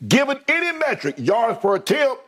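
A man's voice: very high voice, very animated, moderate pace, sharp tone, husky. A man in a sports debate, emphatically listing statistics to make his case and laughing often. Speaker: co-host.

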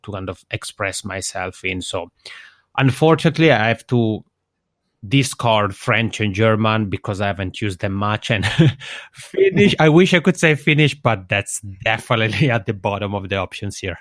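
A man's voice: fairly low pitch, animated, moderate pace, fairly bright tone, no room sound, studio quality. A man from Switzerland whose native language is Italian, answering interview questions in a reflective, explanatory way and often rephrasing himself. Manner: reflective, self-correcting, explanatory. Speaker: interview guest.

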